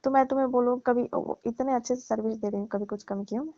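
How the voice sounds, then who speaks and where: A woman's voice: a little high, fairly even intonation, quick pace, dark tone, no room sound, close to an everyday voice. A woman playing a needy, wary middle-aged divorced mother in a casual phone conversation, talking hesitantly and colloquially with a complaining note. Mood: insistent. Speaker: actor in a staged phone call skit. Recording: muffled lo-fi phone call recording.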